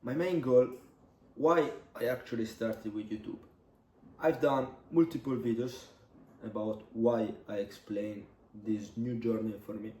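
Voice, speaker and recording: A man in his twenties from Italy, a native Italian speaker, telling a personal story in English for his vlog, halting with many pauses. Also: mid-range voice, mellow. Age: 20s. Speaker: vlogger. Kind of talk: casual storytelling to camera. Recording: echoey room, mic at a distance.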